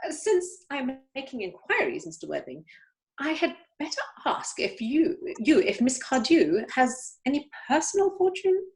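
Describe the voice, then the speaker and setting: A woman's voice: high-pitched, sing-song, normal speed, poor audio. A woman playing a snobbish, imperious older upper-class aunt in an amateur play reading, haughty and emphatic, stumbling now and then. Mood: brisk, disapproving. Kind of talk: amateur play read-through.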